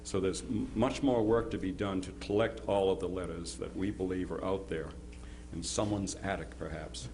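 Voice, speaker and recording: Halting man presenter, slightly low voice. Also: fairly even intonation, background noise. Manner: halting, often repeats words and stumbles. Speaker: presenter introducing a historical dramatization. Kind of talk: informal historical introduction. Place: live presentation before an audience.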